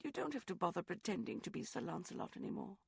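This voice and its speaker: A man's voice: high voice, animated, fast talker, vocal fry, slightly rough, dry recording, clean studio sound, voice pushed up, heavily acted. A man voicing a proud, wounded young woman. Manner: pleading, sharp and rising. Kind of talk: audiobook character voice.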